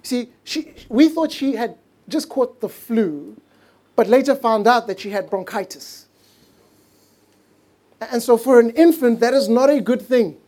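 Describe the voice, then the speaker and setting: Earnest preaching man with mellow tone. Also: very high-pitched, expressive. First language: not English. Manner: earnest, stretches key words slowly, drops to a hush for emphasis. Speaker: pastor. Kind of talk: sermon.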